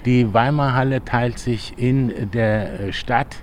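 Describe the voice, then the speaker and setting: Man, interviewer, very slow delivery, easygoing explainer, outdoors, faint hiss. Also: medium pitch. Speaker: interviewer, local guide. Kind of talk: casual outdoor conversation. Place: outdoors in a park.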